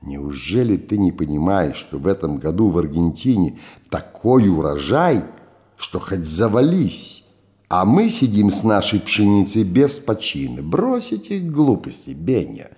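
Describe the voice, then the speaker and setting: Man playing a rich old merchant, condescending, wheedling, low mellow voice, audiobook drama. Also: very animated, unhurried. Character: rich older male merchant, shrewd and dismissive.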